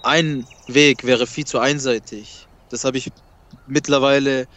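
A man's voice: mid-range voice, steady tone, a little slow, a bit bright, muffled recording. Emphatic man, Kurdish native speaker. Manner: emphatic, repeats words, swears casually. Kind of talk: casual spiritual conversation.

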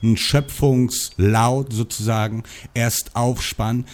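A man's voice: medium pitch, fairly even intonation, slow pace, a little mellow. A man in a casual spiritual conversation, lecturing with slang.

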